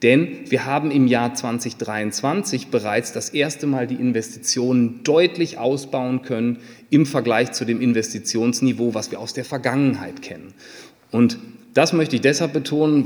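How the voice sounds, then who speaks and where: Man, formal business presenter, explanatory, medium pitch, long hall reverb. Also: a little mellow. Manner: corporate jargon, explanatory, addressing the audience. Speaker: company executive presenting figures.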